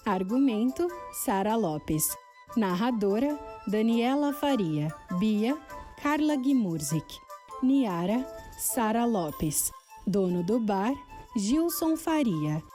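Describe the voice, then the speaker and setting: A woman's voice: a little high, expressive, slow pace, dark tone. A woman announcer reading scripted closing credits for a podcast. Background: faint music.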